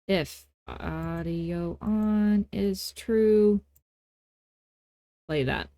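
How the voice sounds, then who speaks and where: A woman hosting a casual software tutorial, talking in an informal, playful and self-deprecating way. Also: medium pitch, extremely slow, smooth, dry recording, clean studio sound. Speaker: tutorial host.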